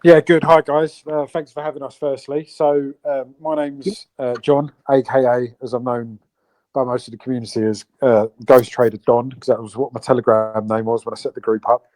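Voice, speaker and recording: A man's voice: medium pitch, level tone, moderate pace, a little mellow, no echo, poor audio. A man from the UK, co-owner of a crypto project, explaining it at length in an online Q&A with many fillers and restarts. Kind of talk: AMA guest explaining a project.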